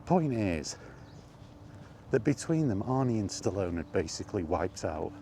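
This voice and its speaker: Male vlog host, deep-pitched, conversational and casual, talking straight through with asides, faint ambient noise in the background. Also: big pitch swings, a little slow, mellow. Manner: conversational, rhetorical questions and asides. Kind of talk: casual film chat monologue.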